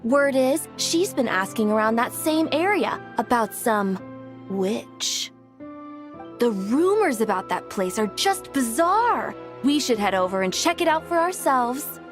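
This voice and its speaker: A curious, considerate townswoman from a video game. Her voice is high and very expressive. She chats casually with faint music behind her. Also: a little slow. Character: concerned, curious townswoman chatting with a coworker.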